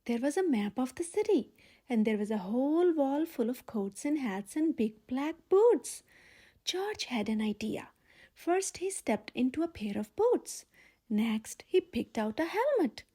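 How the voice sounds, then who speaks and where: A woman narrates a picture book for small children. Her voice is high and swings widely in pitch, with a soft, mellow tone. She reads expressively, leaning on key words. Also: unhurried, slightly rough. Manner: expressive, emphatic delivery for young children. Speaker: storyteller, channel host.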